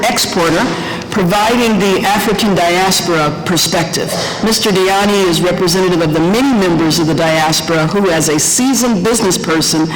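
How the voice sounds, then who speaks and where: A woman hosting a policy forum, reading out panelist introductions with frequent hesitation fillers. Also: lowish voice. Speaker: forum host and moderator. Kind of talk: formal panel moderation. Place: conference room. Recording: echoey conference room.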